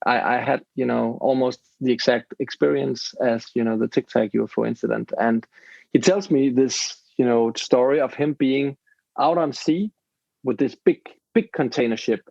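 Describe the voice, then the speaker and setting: A man's voice: mid-range voice, creaky, a little mellow, dry recording. A man, a podcast guest telling a story casually in English, searching for words and restarting his sentences. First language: Danish. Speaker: podcast host. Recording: dull lo-fi dry sound.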